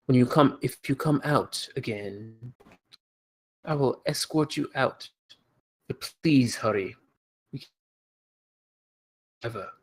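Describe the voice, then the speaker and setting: A man voicing a cautious guide in a tabletop game, speaking quietly and urgently. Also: mid-range voice, rough recording.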